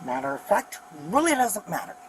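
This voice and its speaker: A woman's voice: mid-range voice, big pitch swings, fairly quick. A woman pulled over in her vehicle at a roadside, arguing in a stubborn, defiant manner. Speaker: driver pulled over in a traffic stop. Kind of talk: argumentative exchange. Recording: noisy roadside body-camera audio.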